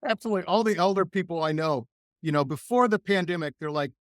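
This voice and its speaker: A middle-aged man hosting a radio interview show, chatting with an enthusiastic, animated manner. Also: high voice, fast, dry recording, studio quality. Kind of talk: casual interview chat.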